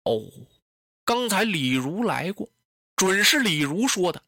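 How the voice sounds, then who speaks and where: A storyteller acts out a distressed young woman, a cunning concubine in a historical tale. She pleads tearfully and indignantly in a bright voice that swings expressively. The sound is close and dry. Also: medium pitch, sing-song, a little slow, dry recording, exaggerated voice. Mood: alarmed, scheming.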